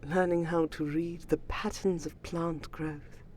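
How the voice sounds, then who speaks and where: A woman voicing a gracious, ancient vampire lady in a fantasy roleplay audio, speaking formally and soothingly to the listener with a wistful, breathy voice. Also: low voice, fairly even intonation, takes it slowly, a little mellow, slight background noise. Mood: wistful, serene. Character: gracious ancient vampire lady, noble keeper of a magical sanctum.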